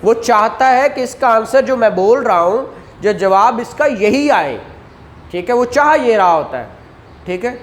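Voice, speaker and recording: A man's voice: very high voice, animated, unhurried. A man, teaching a law class and explaining points with rhetorical questions and acted examples. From Pakistan. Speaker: law lecturer. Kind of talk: classroom lecture.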